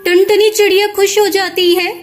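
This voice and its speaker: A woman storyteller with an extremely high, girlish pitch narrates a cartoon tale in a scripted storybook style. Soft music runs behind her. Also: little pitch movement, normal speed.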